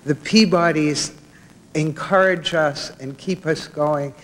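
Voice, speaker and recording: A woman's voice: deep voice, steady tone, slow pace. A woman giving an acceptance speech as a documentary producer. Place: large hall at an awards ceremony. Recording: echoey hall with faint crowd.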